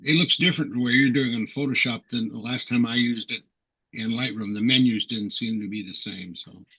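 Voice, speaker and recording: Hesitant man with a husky, muffled voice. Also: medium pitch, fairly quick, sharp tone. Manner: hesitant, polite questions. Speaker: club member asking questions.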